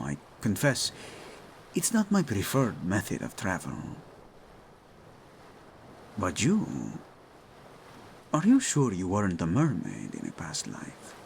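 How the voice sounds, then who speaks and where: A man performs a doting, playful king in a romantic audio drama. His voice has a gravelly edge and moves unhurriedly through very animated rises and falls. He speaks intimately, like a husband to his beloved. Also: on the low side, slight background noise, full character voice. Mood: wistful, teasing. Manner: tender, playful, unhurried endearments. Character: devoted, teasing Spanish-speaking king and husband.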